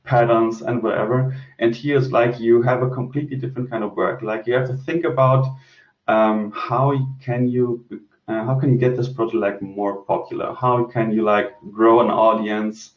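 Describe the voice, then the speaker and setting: A man's voice: mid-range voice, steady tone, moderate pace. Distant, roomy man rambling through a chat. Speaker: host and crypto project lead. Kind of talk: casual group Q&A talk. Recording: roomy, distant, dull online-call audio.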